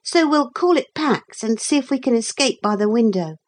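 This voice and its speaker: A woman voicing a proud, impatient young boy in a storybook, speaking in curt, brisk, proper schoolboy phrases. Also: fairly high pitch, animated, voice pushed up. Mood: grudging, brisk.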